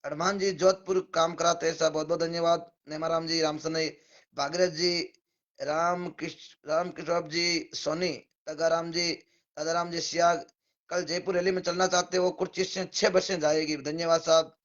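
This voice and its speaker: A hoarse man with a Rajasthani accent, a devotional singer hosting a live stream, casually greeting and thanking viewers one by one by name. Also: a little high, little pitch movement, normal speed, a bit bright, highs cut off.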